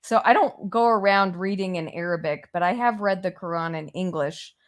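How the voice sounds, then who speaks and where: A woman's voice: on the low side, moderate pace. A woman whose first language is Persian, speaking frankly and plainly in a casual discussion, with some fillers.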